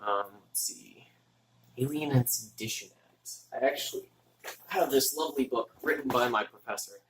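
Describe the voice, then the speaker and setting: A young man from Texas, a student, mutters to himself in a halting, casual way as he works through his notes. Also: mid-range voice, noisy background. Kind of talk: casual study vlog, thinking aloud.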